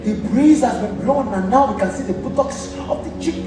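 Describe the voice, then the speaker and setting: A man's voice: very high voice, animated, a little fast, over music. A young man with a Nigerian accent acting a proud, defiant defender of tradition on stage. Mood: bitter, scornful. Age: young adult. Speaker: student stage actor. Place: theatre stage, recorded from afar. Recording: distant, echoey theatre with noise.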